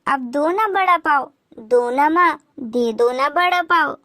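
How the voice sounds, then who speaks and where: Woman voicing a high, whiny little girl bird. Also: slow, pushed and bright, slight background noise, exaggerated voice. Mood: begging. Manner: whining, insistent. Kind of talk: Hindi moral-story cartoon dialogue.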